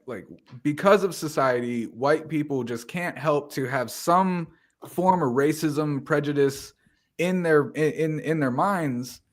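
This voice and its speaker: Slightly high-voiced man hosting a podcast. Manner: upbeat, loose and conversational. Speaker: podcast host. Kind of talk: casual podcast chat.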